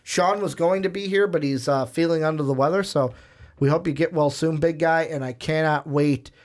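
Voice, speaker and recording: A man's voice: fairly high pitch, fairly even intonation, moderate pace. A man hosting a casual sports discussion in a chatty, joking way. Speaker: sports show host.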